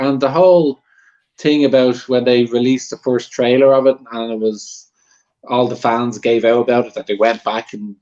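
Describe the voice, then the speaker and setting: A man's voice: mid-range voice, darkish tone. Casual man on a dull, lo-fi line. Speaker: guest panelist on a movie debate show. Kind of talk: casual chat, giving opinions.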